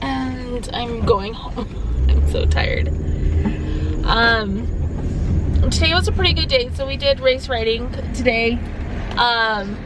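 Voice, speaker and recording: A young woman talks into her phone in a loose, rambling vlog, her voice a little hoarse and worn. It sits a touch high, and loud road and vehicle noise runs underneath. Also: very animated, takes it slowly, pauses often. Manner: rambling, full of "um" and "like". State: losing her voice, slightly hoarse. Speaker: student teacher vlogger. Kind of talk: casual vlog chat.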